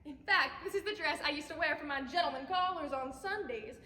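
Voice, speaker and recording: Actress as faded Southern belle mother: giddy, nostalgic, high and bright, stage monologue in echoing hall. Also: a little hiss or hum. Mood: showy nostalgia. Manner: effusive, dramatic, drawn-out words. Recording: echoey hall, long reverb.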